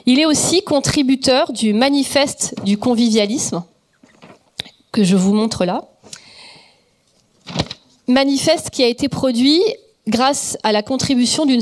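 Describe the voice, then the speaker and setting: A woman hosting an event, giving a measured and polite formal introduction of the speaker. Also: on the high side. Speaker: event host.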